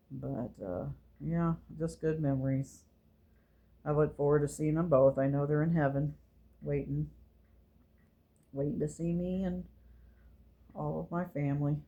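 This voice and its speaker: A woman, who reminisces casually and gently, meandering along as she talks to her viewers. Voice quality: low voice. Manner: slow, meandering and gentle, with fillers. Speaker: channel host.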